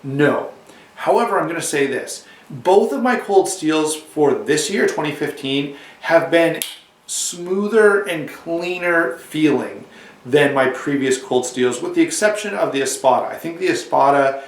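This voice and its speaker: A man with a cold and a slightly hoarse voice, casually reviewing a knife to camera, rambling with restarts and asides. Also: a little high, lively intonation, a little slow, reverberant, distant mic.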